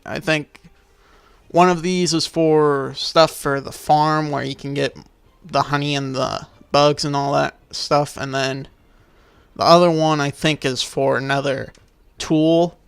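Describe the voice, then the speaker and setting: A man's voice: fairly high pitch, lively intonation, a little slow, creaky, a bit bright, clear, resonant tone, no echo. A man giving casual, rambling game commentary as a video maker, dismissive and freely swearing.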